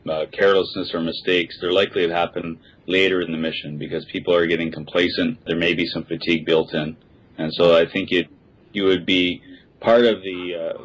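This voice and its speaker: A man with a very deep, monotone voice explains things as a panel guest, unscripted. Faint rumbling noise sits under his voice. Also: poor audio. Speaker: expert guest on a podcast. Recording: low rumbling background noise.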